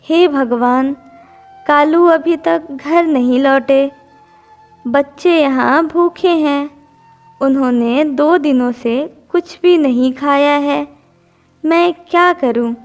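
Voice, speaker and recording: A woman's voice: high voice, slow pace, soft background music. A woman voicing a humble, earnest mother bird in a children's cartoon, speaking politely and pleadingly. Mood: distressed. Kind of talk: Hindi children's cartoon dubbing.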